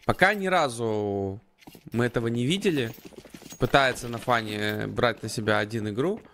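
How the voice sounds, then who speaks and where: A man's voice: mid-range voice, expressive, moderate pace, fairly bright tone, a little hiss or hum. A man commentating and analysing a live esports match in conversational gamer slang. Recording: gunfire and game sounds behind. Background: gunfire, clicks from the game.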